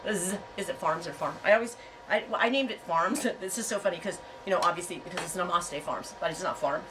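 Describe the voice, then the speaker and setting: A woman's voice: fairly low pitch, quick pace, recorded from a distance, background noise. A woman on her farm vlog, chatting and rambling to her viewers with a stuffy head cold, laughing often. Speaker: yarn and fiber farm vlogger. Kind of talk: casual storytelling to viewers. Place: home on a farm.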